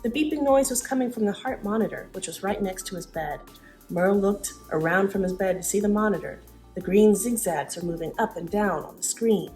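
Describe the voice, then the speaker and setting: A woman giving a dramatic storybook reading, expressive, with casual asides in her own voice. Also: medium pitch, brisk, faint music behind. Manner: expressive, acts out dialogue.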